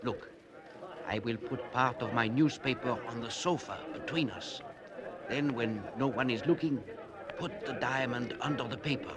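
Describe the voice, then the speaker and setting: An actor playing a cautious, secretive gentleman who speaks in hushed, formal, careful phrases in an old radio drama. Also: medium pitch, normal speed, crowd noise, lightly acted. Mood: furtive. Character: furtive, cautious foreign gentleman, secretive and controlling. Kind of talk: 1940s radio drama acting. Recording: old radio recording, public bustle behind.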